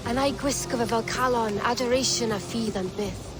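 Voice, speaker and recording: A devoted Welsh bride, as a woman voices her, with a Welsh accent and a fairly high voice. She speaks in an earnest, poetic way, with music behind her in this game scene. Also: moderate pace, lightly acted. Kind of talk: video game voice acting.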